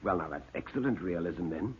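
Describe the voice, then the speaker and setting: A man's voice: low-pitched, expressive, husky, pitched below the performer's own voice, exaggerated voice. A man voicing a chatty museum manager with macabre relish and hesitant ums, in classic radio horror style. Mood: amused, ominous. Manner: hesitant ums, drawn-out relish, chuckling asides. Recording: muffled narrow-band old radio recording.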